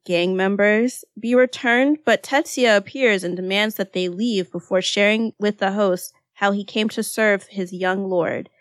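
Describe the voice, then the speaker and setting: A woman's voice: mid-range voice, no room sound, clean studio sound. A woman in her thirties co-hosting a podcast in casual chat, laughing often and leaning on "like" fillers. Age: 30s. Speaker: podcast co-host.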